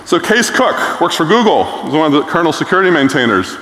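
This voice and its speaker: A man's voice: on the high side, big pitch swings, fast talker, pushed and bright. Blunt middle-aged man in an echoey hall. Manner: blunt, enthusiastic, frequent "um" fillers. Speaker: keynote speaker. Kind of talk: conference keynote talk. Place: large conference stage.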